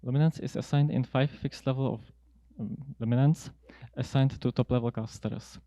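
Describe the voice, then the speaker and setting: A young man giving a prepared academic talk as a student researcher, explaining in a measured, somewhat halting way. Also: mid-range voice, steady tone, warm tone, dry recording. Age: young adult. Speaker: student researcher presenting a paper. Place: indoor seminar room at a lectern. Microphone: handheld microphone close to mouth.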